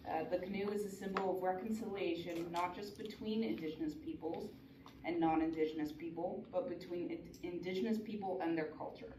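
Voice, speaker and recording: A woman's voice: on the low side, steady tone, a little fast. A woman giving a prepared, earnest talk at a conference, with small restarts. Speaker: conference speaker. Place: conference hall. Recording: echoey hall from far off, noisy.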